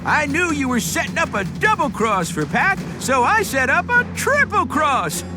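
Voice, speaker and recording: A man voicing a chirpy, giggly older inventor in an animated game, spry and confident in manner. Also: extremely high pitch, normal speed, over loud music. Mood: triumphant, fatherly. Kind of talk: animated game character acting.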